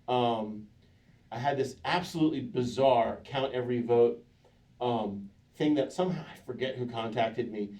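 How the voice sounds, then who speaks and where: A man hosting a live panel, relaxed and rambling, correcting himself as he goes. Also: mid-range voice. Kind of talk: casual live panel talk. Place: synagogue hall, live audience. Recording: distant mic in a hall.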